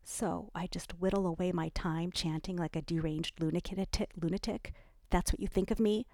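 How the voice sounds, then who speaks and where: Woman voicing a hurt, sharp-tongued friend. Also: normal speed, no echo, close to an everyday voice. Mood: wounded. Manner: cutting, wounded, low-voiced.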